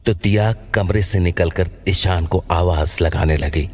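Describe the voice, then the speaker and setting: A man's voice: deep voice, measured pace, darkish tone, soft background music. A man narrating a scripted horror story as the storyteller of an audio drama.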